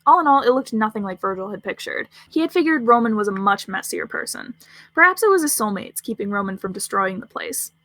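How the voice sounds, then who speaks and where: A woman's voice: mid-range voice, expressive, brisk, fairly bright tone, dry recording. A woman narrating scripted fan fiction as a storyteller.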